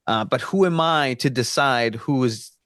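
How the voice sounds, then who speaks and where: A man's voice: mid-range voice, unhurried, fairly bright tone, clear, resonant tone, no room sound, studio quality. A man from Mexico, Spanish his first language, speaking earnestly as a podcast guest, with many restarts. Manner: earnest, frequent restarts and fillers. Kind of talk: casual podcast discussion.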